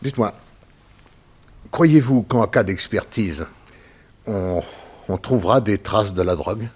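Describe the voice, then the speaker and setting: Crooked horse owner, 1960s radio drama: low-voiced, husky, anxious, weak, pleading. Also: male voice, takes it slowly, darkish tone. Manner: hesitant, pleading.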